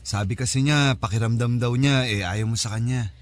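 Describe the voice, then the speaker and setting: Actor as easygoing young Filipino boyfriend. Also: male voice, mid-range voice, normal speed, natural voice. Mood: lightly teasing. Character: easygoing, reassuring young boyfriend and doting son. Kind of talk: radio drama acting.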